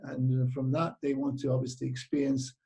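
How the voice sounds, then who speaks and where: A man's voice: medium pitch, monotone, moderate pace, vocal fry, mellow. A middle-aged man with a British accent, a cycling tour operator chatting casually in an interview, rambling with lots of fillers and restarts.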